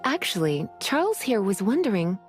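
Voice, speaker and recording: A woman's voice: mid-range voice, very animated, moderate pace, faint music behind, natural voice. A woman voicing a sultry, languid librarian with a purring, teasing manner. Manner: purring, teasing, calls people darling.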